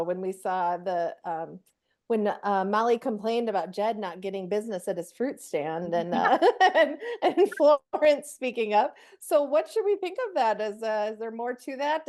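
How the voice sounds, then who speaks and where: A woman's voice: a little high, a little mellow. A woman hosting a podcast, putting questions to guests in a casual, conversational style. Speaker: podcast host, interviewer.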